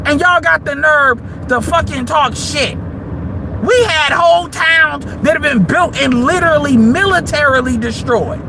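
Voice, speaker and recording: A man, delivering a heated, profane monologue that rises into shouts. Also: extremely high pitch, unhurried, gravelly, background noise. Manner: heated, combative, swearing freely, rising to shouts. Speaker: livestream commentator.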